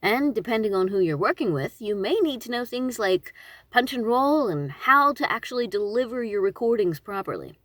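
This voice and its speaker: A woman with a slightly high voice that swings freely up and down, recorded close and dry. She talks informally as a narrator giving advice on her own channel. Her delivery is conversational, expressive and emphatic, with self-deprecating asides. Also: moderate pace, no room sound. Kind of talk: informal instructional talk to camera.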